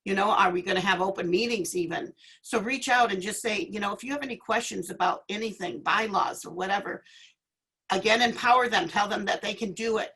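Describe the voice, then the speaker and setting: A woman's voice: mid-range voice, brisk, pushed and bright, slightly rough, low-quality recording. A woman giving an informal panel speech in an encouraging, emphatic manner. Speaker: association leader, panel speaker.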